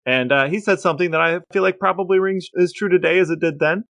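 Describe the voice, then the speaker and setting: A man's voice: high-pitched, fast talker, a bit bright, dry recording. A man with an American accent sharing stories in casual podcast conversation, with dry, understated humor. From Elmore, Ohio, United States. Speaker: podcast co-host. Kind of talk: casual chat with researched stories.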